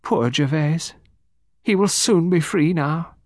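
A man voicing a fragile, fatalistic older aristocratic woman, soft, slow and gentle, in an audiobook. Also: fairly high pitch, dry recording, studio quality, voice pushed up. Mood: matter-of-fact.

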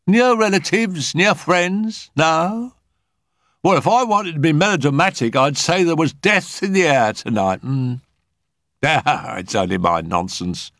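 Casting: weary female séance medium, played by a man, raised pitch, informal and theatrical, storybook reading. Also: measured pace, a bit bright, dry recording, clean studio sound. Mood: uneasy. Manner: chatty, dramatic, informal. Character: ample, tired, uneasy woman spirit medium.

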